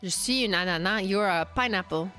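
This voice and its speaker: A woman's voice: medium pitch, fairly quick, pushed and bright, faint music behind. A young Canadian woman with a Canadian accent hosting a casual livestream while sick and losing her voice, chatty and laughing often. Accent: Canadian English. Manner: chatty, laughs often, switches between English and French. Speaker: livestream host, brand founder.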